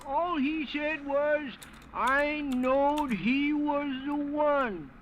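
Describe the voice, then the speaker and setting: A man's voice: extremely high pitch, drawn-out words, heavily acted. A man playing a devoted, grieving little old American working man in a 1950s radio drama, folksy, ungrammatical and plaintive. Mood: mournful recounting. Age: older. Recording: dull, hissy vintage radio recording.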